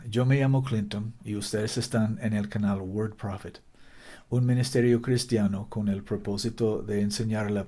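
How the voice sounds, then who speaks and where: A man with a low, mellow voice preaching a sermon in careful Spanish, which is not his first language. He speaks very slowly and deliberately, pausing on each phrase and quoting scripture line by line, with the odd restart on a word. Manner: deliberate, stops to quote scripture, some restarts in careful non-native Spanish. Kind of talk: slow Bible-teaching sermon to camera.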